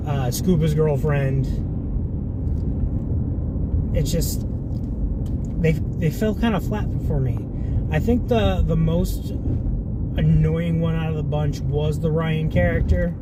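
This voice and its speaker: A man's voice: on the high side, takes it slowly. Rambling man reviewing a film in a car. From Massachusetts. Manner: rambling, conversational, frequent restarts. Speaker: movie reviewer. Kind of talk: casual spoken film review. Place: inside a car. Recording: loud car road noise. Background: car engine and road noise.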